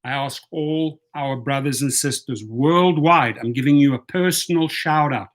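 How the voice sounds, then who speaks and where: A man's voice: mid-range voice, measured pace. A man with a South African accent hosting a livestream, rallying viewers and calling out long lists of cities and viewer comments. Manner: reads viewer comments aloud. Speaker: channel host. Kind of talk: livestream call to action.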